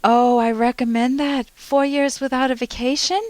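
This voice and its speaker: A woman's voice: high-pitched, steady tone, moderate pace, slight background noise. A middle-aged woman hosting a call-in advice show as a psychologist, talking conversationally and emphatically with personal anecdotes.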